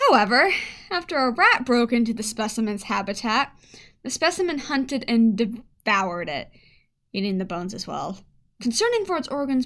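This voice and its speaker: A woman's voice: fairly high pitch, fairly bright tone. A woman playing a blunt, weary head scientist of forty, dictating an audio log in a radio play with wry, emphatic asides. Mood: brisk, puzzled.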